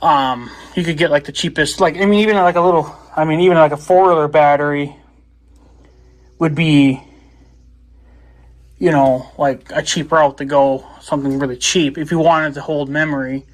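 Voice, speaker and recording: A man's voice: on the high side, steady tone, normal speed, rough recording. A man casually demonstrating gadgets as a video blogger, rambling and thinking aloud as he goes.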